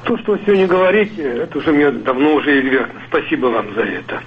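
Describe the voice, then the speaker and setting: Male caller, high voice, indignant and declamatory, tinny phone with hiss. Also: lively intonation, fast talker. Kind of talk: phone-in comment. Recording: tinny phone line with noise.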